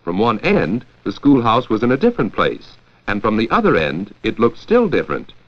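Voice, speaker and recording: An American man narrating a 1940s educational film from a script, with a clear, measured storytelling delivery. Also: fairly low pitch, wildly swooping pitch. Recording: dull, lo-fi 1940s 16mm film soundtrack.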